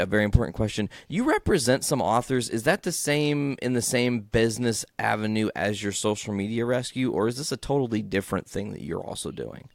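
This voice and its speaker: A man's voice: on the low side, no room sound, studio quality. A man hosting a podcast interview, upbeat and encouraging toward his guest. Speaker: podcast host. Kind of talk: casual interview chat.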